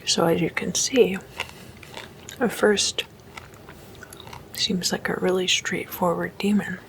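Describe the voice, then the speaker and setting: Slow whispering woman, creaky edges. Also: fairly low pitch, flat delivery, poor audio. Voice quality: mostly unvoiced whisper, breathy with some creak. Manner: slow, hushed, deliberate delivery. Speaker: host of an ASMR series. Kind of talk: whispered ASMR.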